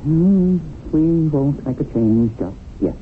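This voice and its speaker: A man's voice: a little high, lively intonation, slow pace, narrow, tinny audio. A man playing a stern, reproving supernatural superior in a radio drama. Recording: thin old radio recording with faint noise.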